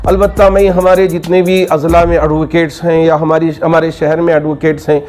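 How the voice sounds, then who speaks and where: A man, a cleric answering questions in a formal, measured and earnest way. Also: on the high side, level tone, darkish tone, faint music behind.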